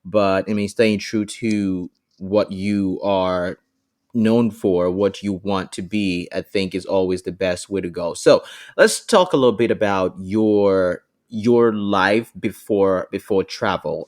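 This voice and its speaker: A man's voice: lowish voice, darkish tone. A man hosting a podcast, asking questions in an encouraging manner and leaning on "you know" as a filler. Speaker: podcast host. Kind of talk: casual interview chat.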